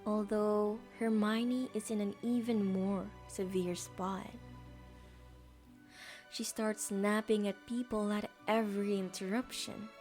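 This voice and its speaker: A woman's voice: medium pitch, steady tone, slow, a little mellow, faint music behind. A woman reading scripted story narration as the narrator of an audio drama.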